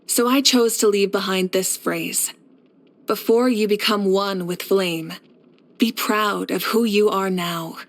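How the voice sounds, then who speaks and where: A composed, assured fire leader. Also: female voice, mid-range voice, fairly even intonation. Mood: earnest, assured. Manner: assured, measured, encouraging. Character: confident, composed, kindly fire archon war leader. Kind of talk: scripted video game character voice lines.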